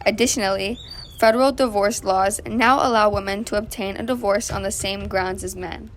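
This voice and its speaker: A woman's voice: medium pitch, steady tone, normal speed, slight background noise, close to an everyday voice. Woman as women's rights activist, radio guest in the 1920s. Mid-range voice, earnest, explanatory read. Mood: earnest, pleased. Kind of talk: scripted amateur 1920s radio interview.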